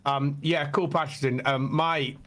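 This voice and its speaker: A man with a slightly croaky, hoarse voice, talking casually and emphatically as a host explaining fan topics. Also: a little high, steady tone, moderate pace, a bit bright.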